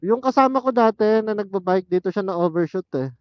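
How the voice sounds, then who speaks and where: A man with a fairly high voice talks casually as he rides, in the manner of a motovlogger thinking aloud. The sound is dull and close, with no room echo, as picked up by a helmet intercom mic. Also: a little fast. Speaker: motorcycle vlogger. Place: outdoors, riding a motorcycle on mountain roads. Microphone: helmet intercom headset used as external mic. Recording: muffled helmet intercom microphone.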